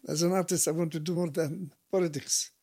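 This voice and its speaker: A man's voice: fairly high pitch, fairly even intonation, fairly quick, dark tone, husky. A man in his seventies from the West Bank with a Palestinian Arabic accent, reminiscing and reflecting as an artist in an interview. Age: 70s. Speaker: painter being interviewed. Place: recorded at home.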